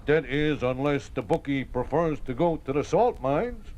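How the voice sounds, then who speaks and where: An American actor playing a folksy, defensive racetrack bookie in a 1950s radio drama, talking in streetwise slang with stammered repetitions. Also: male voice, a little high, lively intonation, normal speed, darkish tone, lo-fi sound, lightly acted. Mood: explaining, self-justifying. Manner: streetwise slangy talk, hesitant repetitions, aggrieved. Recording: noisy old radio broadcast recording.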